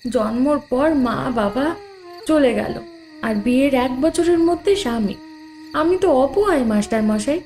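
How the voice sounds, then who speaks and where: A woman's voice: high voice, lively intonation, a little slow, a little mellow, slight background noise. A woman playing a meek, sorrowful young village widow who believes herself cursed, in an audio drama. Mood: sorrowful, bitter. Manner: meek, self-deprecating, plaintive. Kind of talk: dramatised audio horror story acting.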